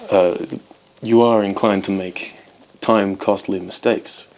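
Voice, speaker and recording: Low-voiced young Welsh-born man rambling. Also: fairly even intonation, measured pace, a little mellow, rough recording. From Wales. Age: 20s. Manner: chatty and rambling, many ums and you-knows. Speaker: guitar channel host. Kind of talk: casual talk to camera.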